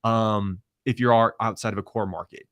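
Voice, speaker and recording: A man's voice: fairly even intonation, fairly bright tone, clear, resonant tone. A man from Croatia, a guest on a casual podcast, talking about his company in an upbeat sales manner. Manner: upbeat, rapid pitch-style talk.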